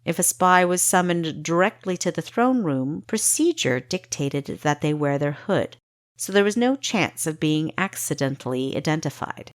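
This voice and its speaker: A woman's voice: fairly low pitch, dry recording, clean studio sound. A woman reading scripted fantasy fiction as an audiobook narrator.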